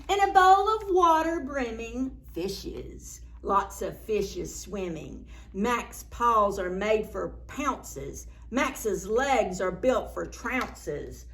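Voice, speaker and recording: A woman storyteller reading a picture book aloud to young children in a playful, dramatic, expressive way. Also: mid-range voice. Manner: animated, playful, dramatic reading with gasps and exclamations; addresses young children with simple questions.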